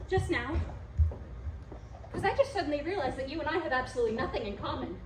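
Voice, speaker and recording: Impulsive young stage wife, played by a woman: melodramatic, high-pitched, indignant; echoey recording with some background noise. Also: animated, quick pace. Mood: angry, accusing. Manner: emphatic, indignant, theatrical. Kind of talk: stage comedy-drama acting.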